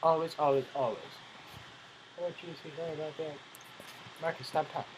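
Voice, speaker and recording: A young man joking casually as co-host of a gaming video, excitable and exclamatory, often playfully scolding. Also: on the high side, normal speed, a little hiss or hum, poor audio. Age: young adult.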